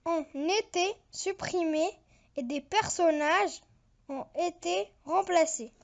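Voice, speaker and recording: A very young-sounding host narrating an informal online countdown, reading haltingly phrase by phrase with casual asides to viewers. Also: extremely high pitch. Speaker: video host.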